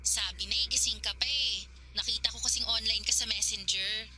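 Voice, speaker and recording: A woman acting an excitable, protective young female friend in a Filipino radio drama. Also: fairly high pitch, fairly quick, a crowd in the background, natural voice. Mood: concerned, alarmed.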